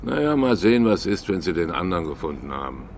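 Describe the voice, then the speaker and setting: An actor playing a chatty but defensive caretaker in an audio drama with music behind. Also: male voice, deep voice, very animated, quick pace, a little mellow, slight background noise.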